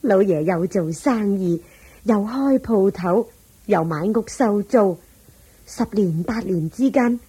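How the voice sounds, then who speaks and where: An actress playing the devoted, flattering wife of a rich old master in a Cantonese radio drama, solicitous and deferential. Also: female voice, medium pitch, slow, breathy edge. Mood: admiring.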